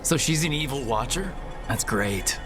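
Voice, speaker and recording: A man's voice: mid-range voice, expressive, measured pace, close to an everyday voice. An actor playing a wry young male adventurer in a video game, speaking in a casual, direct way. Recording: music behind the voice.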